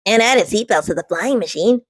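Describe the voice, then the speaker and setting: A woman's voice: medium pitch, animated, a little fast, breathy edge, dry recording. Woman voicing a matter-of-fact young boy.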